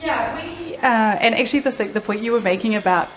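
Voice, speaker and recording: A woman's voice: a little high, fairly quick, rough recording. A woman with a New Zealand accent speaking informally and jokily as a conference panelist. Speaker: panelist, product executive. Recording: live event with faint background noise.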